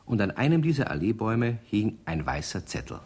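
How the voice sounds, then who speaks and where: A man narrating a children's radio play in a measured storytelling manner. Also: fairly low pitch, very animated, darkish tone, a little hiss or hum.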